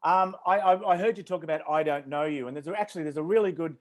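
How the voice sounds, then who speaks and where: A man's voice: on the high side, quick pace. A man hosting an interview, asking long rambling questions full of ums and restarts. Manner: hesitant, many ums and restarts, chuckles. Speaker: interview host.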